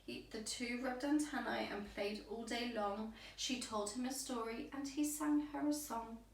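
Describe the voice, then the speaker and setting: A woman with a fairly high voice reads a rhyming bedtime story for children. Her phrasing is slow, deliberate and expressive. It sounds like a roomy space with plenty of echo. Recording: roomy echo, distant microphone.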